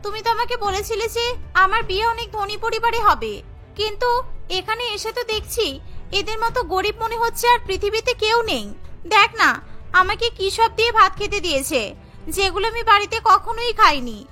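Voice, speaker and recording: A very high, bright voice of a spoiled young bride in a cartoon. She is whiny and demanding, quick to take offence, with music running underneath. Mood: angry, complaining. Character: spoiled, indignant young bride, newly married. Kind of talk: Bengali cartoon voice acting.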